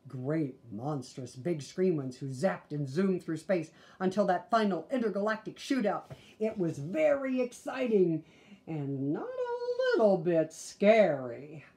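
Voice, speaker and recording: A woman in her sixties narrates a picture book with a mellow tone and very lively melody. She reads expressively and drops in little asides to the listeners. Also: fairly low pitch, unhurried. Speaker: storybook reader. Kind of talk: children's read-aloud narration. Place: small living room, indoors.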